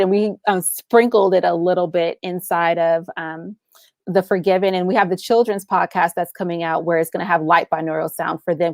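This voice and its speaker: A woman's voice: on the low side, darkish tone, dry recording. A woman guest speaking casually and enthusiastically about her creative work, explaining things with everyday examples. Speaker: guest, audio drama writer and producer.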